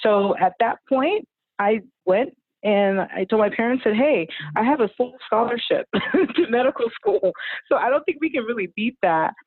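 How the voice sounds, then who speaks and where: A woman's voice: fairly high pitch, lively intonation, moderate pace, no room sound. A woman in her forties, a family physician, telling her story as a podcast guest, rambling with fillers and laughing often. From United States (California). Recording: tinny phone-like line, dry.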